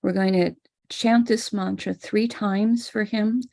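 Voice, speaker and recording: An American woman teaching a ritual on a live stream, calmly explaining each step. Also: medium pitch, slow pace, gravelly. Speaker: spiritual teacher leading a ritual. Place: home room with a small altar table.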